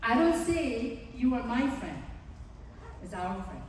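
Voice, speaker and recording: Korean-accented woman, seminar speaker, earnest and unhurried, higher voice, distant reverberant hall with faint background hum. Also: very animated, a little mellow. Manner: earnest, slow and explanatory, acts out examples. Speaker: psychologist giving a parenting seminar. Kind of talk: seminar talk to parents. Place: large conference hall.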